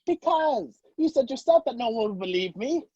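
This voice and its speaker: A mellow, husky radio-play hero on the run, acted by a man. He is urgent and dryly questioning, with wide swings of pitch. The sound is slightly muffled. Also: lively intonation, normal speed, dry recording, heavily acted. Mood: desperate, urgent. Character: wrongly accused man on the run, urgent and impatient. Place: video call, dry room. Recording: muffled video-call audio.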